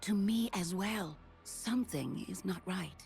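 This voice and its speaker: A woman's voice: medium pitch, lively intonation, measured pace, creaky, a little mellow, faint music behind. A woman playing a stern, motherly forest sprite in a video game, solemn and admonishing. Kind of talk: video game character voice lines.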